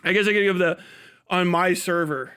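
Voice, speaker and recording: A man with a high-pitched voice hosts a livestream. He reacts casually, swears freely and keeps a derisive, mocking edge. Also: fairly even intonation, normal speed, pushed and bright, clean tone. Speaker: host, livestream commentator. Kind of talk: profane casual reaction commentary.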